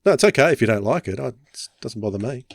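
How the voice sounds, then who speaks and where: A man's voice: mid-range voice, lively intonation, very fast. A man hosting a podcast, chatting casually with guests about audio dramas. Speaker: podcast host.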